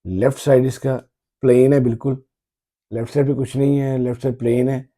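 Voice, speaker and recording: A man giving casual, halting commentary as a tech reviewer unboxing a phone, often repeating his phrases. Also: mid-range voice, flat delivery, warm tone.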